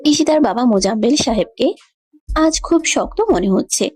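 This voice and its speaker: A woman's voice: on the high side, expressive, moderate pace, darkish tone, slightly rough. A woman narrating a scripted romantic story.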